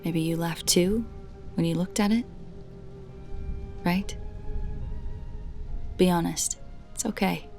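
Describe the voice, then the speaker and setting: A woman narrating a scripted first-person creepy story in a measured way, with dramatic pauses between short phrases. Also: on the low side, vocal fry, darkish tone, soft background music.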